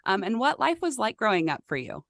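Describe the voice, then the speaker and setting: A woman's voice: mid-range voice, big pitch swings, a little fast, a bit bright, dry recording, clean studio sound. A woman hosting a podcast, reading a guest introduction and prompting her guest with short, encouraging replies. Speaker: podcast host. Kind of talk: scripted guest introduction and interview.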